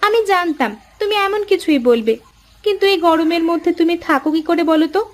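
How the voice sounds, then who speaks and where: A woman voicing fussing, affectionate village sisters in a cartoon, in an expressive, homely way. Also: very high voice, fairly quick. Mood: concerned, chatty. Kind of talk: cartoon voice acting. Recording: faint music behind the voice.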